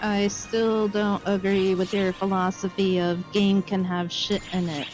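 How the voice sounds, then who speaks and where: A woman's voice: mid-range voice, flat delivery, unhurried, low-quality recording. Blunt woman with music behind. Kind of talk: casual chat about games.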